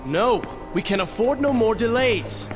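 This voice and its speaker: An actor playing a proud, vengeance-driven young prince who speaks intensely and with command. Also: male voice, very high-pitched, expressive, a little slow, soft background music. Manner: intense, commanding, turns to strained shouting.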